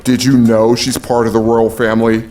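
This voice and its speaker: An actor playing a big, gruff, streetwise protector with a blunt, commanding manner in an audio drama. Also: male voice, mid-range voice, monotone, normal speed, a little mellow, natural voice. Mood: conspiratorial.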